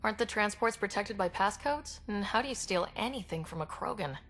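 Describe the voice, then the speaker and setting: An actress voicing a calm, reassuring young woman explorer and leader in a video game. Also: medium pitch, brisk, slight background noise. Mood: steady.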